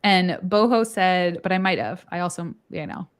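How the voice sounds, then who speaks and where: A woman who is a charge nurse, hosting a casual panel chat with fellow nurses, animated and quick to laugh. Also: lowish voice, clean tone. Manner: animated, laughs often, fillers.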